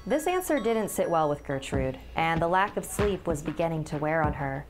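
A woman's voice: deep voice, big pitch swings, normal speed. A woman in her thirties giving a scripted, dramatic narration as a scary-story teller. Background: background music.

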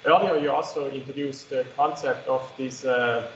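A man in the audience asking a measured, precise technical question. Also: mid-range voice, steady tone, fairly bright tone, echoey room, muffled recording, slight background noise.

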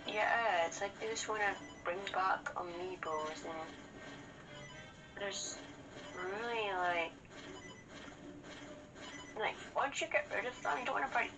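A woman's voice: mid-range voice, slow pace, pauses often, poor audio. A woman chatting casually over gameplay as co-host, blunt and given to swearing. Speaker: co-host of a gaming show. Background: video game music.

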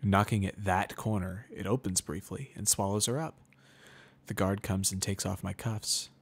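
A man narrates a dramatic first-person story. His voice is on the low side with plenty of gravelly fry. The delivery is measured and brooding. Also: fairly even intonation.

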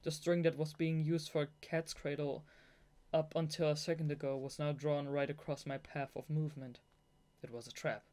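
Audiobook narrator, young adult, native German speaker, medium pitch, amateur novel reading with fannish asides. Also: flat delivery, moderate pace, darkish tone. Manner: giggly, gushing fangirl asides between read passages. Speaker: audiobook reader.

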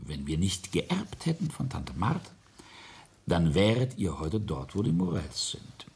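An older actor playing a ruthless, boastful industrialist patriarch in a radio play, gravelly and husky, with a deliberate, imperious, cutting delivery. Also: male voice, deep voice, heavily acted. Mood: accusing. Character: ruthless, boastful patriarch and self-made industrialist, coldly confessing. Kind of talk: German radio play acting.

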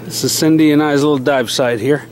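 A man's voice: on the high side, fairly even intonation, brisk. A man talking casually in short, plainspoken remarks, as a vlogger filming outdoors on a boat. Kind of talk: casual outdoor vlog narration. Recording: clear boat and outdoor lake noise.

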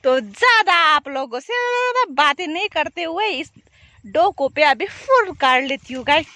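High-pitched woman vlogging casually outdoors. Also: animated, measured pace, bright, forceful tone, no echo. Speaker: village life vlogger. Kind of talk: casual chat to viewers. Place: outdoors in open rice fields and village. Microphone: phone, at arm's length or set up nearby. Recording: outdoor phone audio with light noise.

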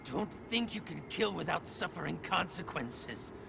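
Vengeful dying assassin for a video game: theatrical, menacing, heavy rasping fry, widely swinging pitch, noisy ambience behind. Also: male voice, animated, exaggerated voice. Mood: grave, bitter. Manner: slow, menacing, grandly theatrical pronouncements. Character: vengeful, bitter male assassin, gravely wounded. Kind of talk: dramatic video game acting.

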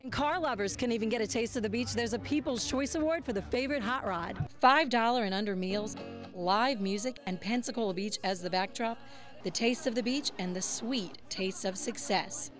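A woman's voice: a little high, normal speed, music in the background. Young woman, polished TV news reporter. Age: young adult. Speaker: TV reporter and host. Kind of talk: polished scripted broadcast narration.

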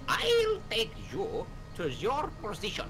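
A woman acts out a courteous fantasy-game officer giving guards their orders. The pitch is middling but swings very widely. Music plays clearly underneath. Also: wildly swooping pitch, slow. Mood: courteous, businesslike. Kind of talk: amateur video game dub.

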